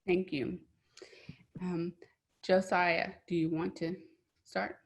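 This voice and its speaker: A woman moderating a roundtable, posing measured questions to each panelist and thanking them. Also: fairly low pitch, flat delivery, vocal fry.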